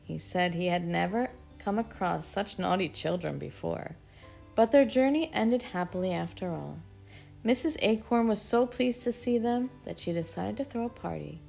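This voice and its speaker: Expressive woman reading a children's story. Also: medium pitch, moderate pace, gravelly, soft background music. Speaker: storybook reader. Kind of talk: children's read-aloud narration.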